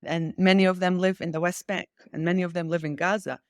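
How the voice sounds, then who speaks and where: A woman's voice: lowish voice, fairly even intonation, fast, dry recording. Woman, interview guest, political discussion, emphatic, challenging, insistent, repetitive for emphasis, dry recording. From Israel. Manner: repeats key phrases for emphasis.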